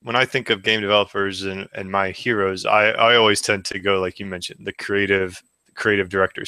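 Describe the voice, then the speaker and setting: Low, bright-voiced male co-host. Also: smooth, no echo. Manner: halting, repeats words as he thinks. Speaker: podcast co-host. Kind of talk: casual podcast chat.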